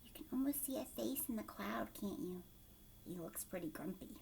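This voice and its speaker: A woman's voice: medium pitch, expressive, moderate pace, creaky, a little mellow, a little hiss or hum. A woman teacher reading a picture book aloud to young children, expressively, with questions and asides to her listeners.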